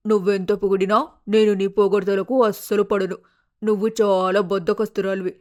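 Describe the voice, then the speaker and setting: A woman's voice: medium pitch, level tone, normal speed, darkish tone, natural voice. A woman voicing a stern, practical mother bird in a cartoon moral story, nagging and scolding in a matter-of-fact way. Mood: firm.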